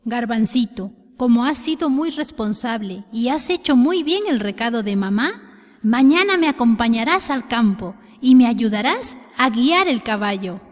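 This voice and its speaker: A woman voicing a kindly, approving father in a children's storybook, measured and gentle. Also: fairly high pitch, animated, close to an everyday voice. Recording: long hall reverb.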